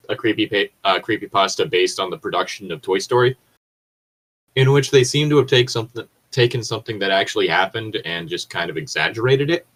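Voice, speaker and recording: Deep-voiced, rambling, sweary man. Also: fairly bright tone, rough recording. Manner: sweary, rambling, self-correcting. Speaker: podcast co-host reading and discussing creepypastas. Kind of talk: casual commentary chat.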